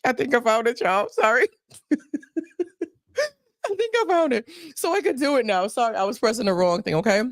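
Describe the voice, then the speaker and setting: A woman's voice: on the high side, very animated, normal speed, pauses often, dry recording, clean studio sound. A woman life coach giving advice on a livestream, emphatic and repeating points for stress.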